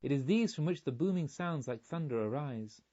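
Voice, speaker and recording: A man reading a storybook, voicing a serene woman with a hushed, measured, dreamy manner, on a slightly muffled recording. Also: on the high side, expressive. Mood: calm, explaining. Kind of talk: audiobook storytelling.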